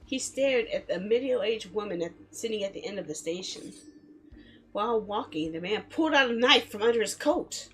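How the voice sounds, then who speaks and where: A woman streaming a game in a casual, expressive, chatty way, reading story text aloud and reacting to it. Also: mid-range voice, normal speed, rough recording. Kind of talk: casual live let's play, reading visual novel text aloud. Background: background music.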